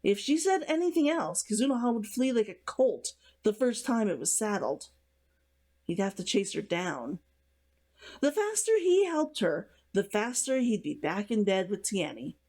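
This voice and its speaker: A woman narrates a novel in an expressive storytelling manner. Her medium-pitched voice moves up and down in a lively way. It sounds like an author reading her own prose aloud. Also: moderate pace. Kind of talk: scripted audiobook narration.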